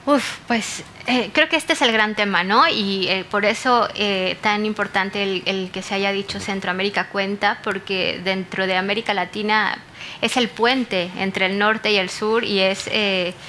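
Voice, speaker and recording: A woman's voice: medium pitch, fairly even intonation, measured pace, bright, forceful tone, slight background noise. A woman speaking earnestly and thoughtfully on a literature panel.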